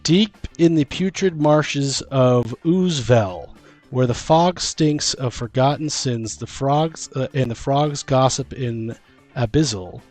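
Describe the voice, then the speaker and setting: Man, podcast co-host, unhurried pace, halting delivery, mid pitch, casual gaming chat, music behind. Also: darkish tone. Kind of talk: casual chat about tabletop gaming, some reading aloud.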